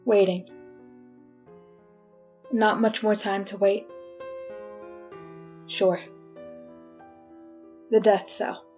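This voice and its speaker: A woman's voice: medium pitch, flat delivery, drawn-out words, pauses often, a little mellow. A woman playing a resigned, wry condemned prisoner in a 1940s-style radio drama, talking in a folksy, confiding way. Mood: wry, brooding. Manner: colloquial, confiding. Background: music.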